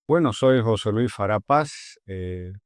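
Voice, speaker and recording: A middle-aged man with a Bolivian Spanish accent, a guest in an interview, who answers in a measured, earnest way with pauses and fillers. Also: lowish voice, expressive, smooth, dry recording, clean studio sound. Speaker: agricultural leader, guest.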